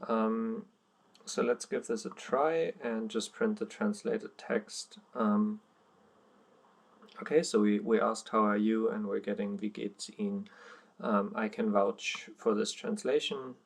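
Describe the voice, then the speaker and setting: A young man whose first language is German explains code at his screen, pausing often with ums and uhs. His voice sits low and gravelly, with little rise and fall. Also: steady tone. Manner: hesitant, many ums and uhs, plain explaining. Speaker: coding tutorial presenter. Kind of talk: screen-recorded coding walkthrough.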